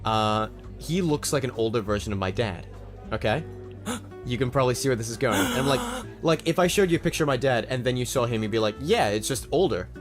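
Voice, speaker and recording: A man's voice: mid-range voice, fairly quick, over music. Man with a slight Australian lilt. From Canada. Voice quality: rambling, restarts a lot, gets excited. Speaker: podcast co-host. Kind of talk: casual storytelling chat.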